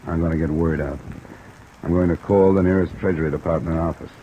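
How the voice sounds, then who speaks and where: A cool, resolute investigator played by a male actor with a low voice. He questions tersely and probingly in the manner of old radio detective drama. Loud rain noise covers the old recording. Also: fairly even intonation, quick pace, highs cut off, lightly acted.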